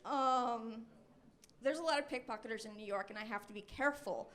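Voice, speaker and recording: High-voiced young American woman doing stand-up. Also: fairly quick, fairly bright tone. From Los Angeles, United States. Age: 20s. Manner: self-deprecating, chatty storytelling. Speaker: stand-up comedian. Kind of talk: live stand-up comedy routine. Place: comedy club stage with live audience. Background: audience laughter.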